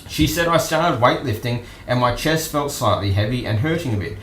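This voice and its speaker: Echoey Australian man, low-key news streamer. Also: lively intonation, moderate pace, fairly bright tone, slight background noise. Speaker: host of an online news commentary stream. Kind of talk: casual off-the-cuff commentary on news clips. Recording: roomy echo with music behind.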